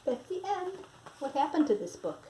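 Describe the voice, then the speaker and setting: An older woman, a grandmother, reading a picture book expressively to a small child, sing-song with drawn-out words and chatty asides. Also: high-pitched, big pitch swings, mellow. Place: porch at home. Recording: roomy echo, faint background noise.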